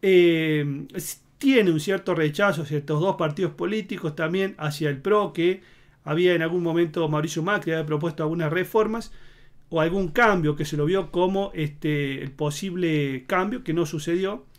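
Argentine man from Córdoba, fairly high voice. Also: normal speed. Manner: rambling, many fillers and restarts, gestures with both hands. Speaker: political commentator. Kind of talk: casual commentary to camera. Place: indoor home studio in front of a green screen.

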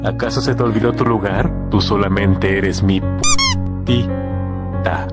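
Brief: man voicing a hammy rich celebrity, English asides, lively pitch, music bed. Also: takes it slowly, exaggerated voice. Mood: menacing. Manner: mixes English phrases, hammy. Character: rich male celebrity philanthropist guest.

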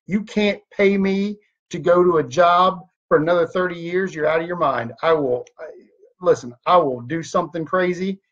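Husky high-voiced man pitching motivation. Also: normal speed. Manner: emphatic, animated hand gestures, rhetorical questions. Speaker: network marketing leader. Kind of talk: motivational talk to camera. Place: home office room. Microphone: webcam at desk. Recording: dull lo-fi webcam audio.

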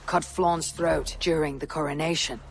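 A woman's voice: deep voice, steady tone, moderate pace, slight background noise. Terse, stoic Viking warrior woman. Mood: grave, measured. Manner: terse, dry, matter-of-fact. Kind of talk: game cutscene acting.

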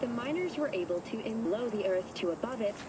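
A woman's voice: a little high, expressive, fast, close to an everyday voice. A woman playing a formal, impersonal radio newsreader in a video game. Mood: composed. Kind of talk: scripted news broadcast in a game. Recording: noisy car radio, engine sounds.

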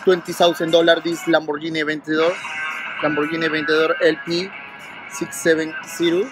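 A man's voice: on the high side, flat delivery, a little fast, music in the background. A man in his thirties from Peru with a Peruvian Spanish accent, a valet talking eagerly in broken English on a city street. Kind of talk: street interview.

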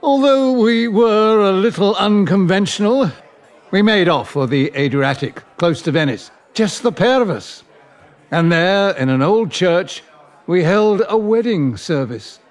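A lovelorn middle-aged man in a radio-style drama. His voice sits a little high and moves a lot in pitch. He talks slowly, with courteous, hesitant earnestness. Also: expressive. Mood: confessional. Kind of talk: audio drama dialogue.

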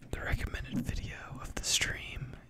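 A person commentating a livestream in a breathy ASMR-like whisper, with a playful, deadpan mock-announcer delivery. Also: moderate pace.

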